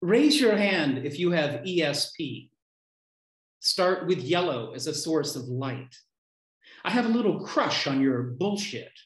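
A man in his fifties reading his poems aloud on a video call from home, declaiming short lines with deliberate pauses. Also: a little high. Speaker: poet reading own poems. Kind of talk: live poetry reading. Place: small room at home. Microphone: computer mic on a video call. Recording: roomy, echoey home video-call sound.

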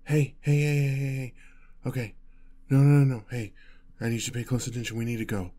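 A man's voice: mid-range voice, flat delivery, very fast, a little mellow, natural voice. A man playing a protective, anxious boyfriend on the run, stammering and tender, in an intimate audio roleplay. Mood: frantic, urgent. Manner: stammering, urgent, tender reassurance. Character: panicked, protective boyfriend fleeing a gunman with his partner.

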